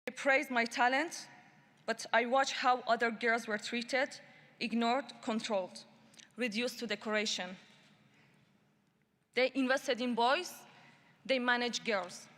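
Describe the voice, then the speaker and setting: A woman from Iran giving a prepared speech at a human rights summit. She speaks in a deliberate, measured and emphatic way. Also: on the high side, steady tone, fairly bright tone. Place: large conference hall. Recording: long hall reverb.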